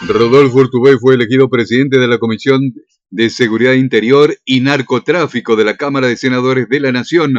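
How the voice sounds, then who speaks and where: A man's voice: mid-range voice, dry recording. An Argentine man reading a scripted local radio news bulletin with time and weather. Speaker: radio newsreader. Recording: dull lo-fi radio sound.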